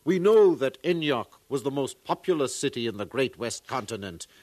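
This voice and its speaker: A man's voice: medium pitch, very animated, normal speed, darkish tone, no echo. A man playing a pompous, solemn far-future archaeologist in a 1950s radio drama, lecturing like an orator. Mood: solemnly certain.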